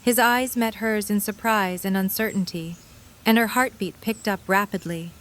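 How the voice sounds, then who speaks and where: A woman's voice: medium pitch, fairly even intonation, moderate pace, fairly bright tone. A woman reading scripted story narration for an audio drama.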